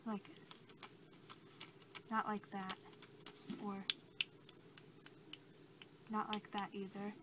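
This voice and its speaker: Slow-talking young woman, noisy ASMR recording. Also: medium pitch. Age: young adult. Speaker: ASMR role-play creator. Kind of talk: ASMR role-play, casual improvised monologue as a researcher. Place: small bedroom, indoors. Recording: clearly noisy home recording.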